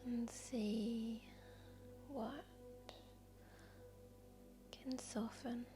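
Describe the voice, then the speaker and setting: A woman guiding a meditation in a soft, breathy, whispery voice, speaking gently and soothingly with long unhurried pauses. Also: a little high, little pitch movement. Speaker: yoga nidra guide and host. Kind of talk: guided meditation narration. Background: background music.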